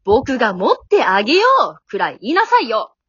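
Radio drama heroine, female amateur: haughty, pushy young girl, high-pitched and very animated, dry slightly dull recording. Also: fairly quick, breathy edge, no echo. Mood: bossy, coy. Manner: haughty, coy, pushy ladylike speech.

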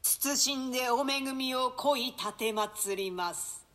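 A sly prince played by a woman with a bright, forward voice on the high side. He speaks in measured, mock-courteous period language, a villain feigning piety in a stage tragedy. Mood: feigned sympathy. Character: scheming royal brother, feigning piety, sardonic villain. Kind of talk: theatrical classical Japanese play reading.

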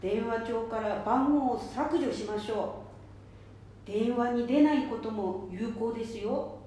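A woman acts as a patient community advisor in an amateur radio play, explaining politely. Her voice is fairly high and soft-edged, very mellow in tone. It echoes strongly around the room. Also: a little slow, natural voice. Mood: instructive. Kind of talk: amateur radio drama, instructive. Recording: very echoey room, faint noise.

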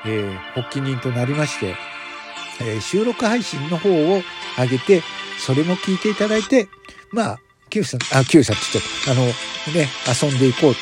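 A man's voice: mid-range voice, sing-song, brisk, music in the background. A man hosting a podcast, making a casual announcement to listeners in polite, formal phrasing. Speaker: podcast host.

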